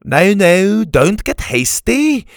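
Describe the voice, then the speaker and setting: Storybook role: crotchety old Scotsman acted by a man, sardonic and emphatic, higher register, animated delivery. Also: slow, dry recording, studio quality, heavily acted. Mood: sardonic, dismissive. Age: older.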